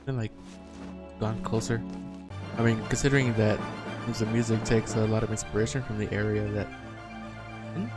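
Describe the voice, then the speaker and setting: A man's voice: lowish voice, normal speed, long pauses, over music. A man streaming a video game, chatting casually and thinking aloud. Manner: relaxed, mumbling thinking aloud.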